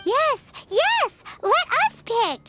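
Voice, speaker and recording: A woman voicing a tiny, excitable female companion creature who speaks of herself by name, in a game with loud music behind. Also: very high-pitched, very slow, exaggerated voice.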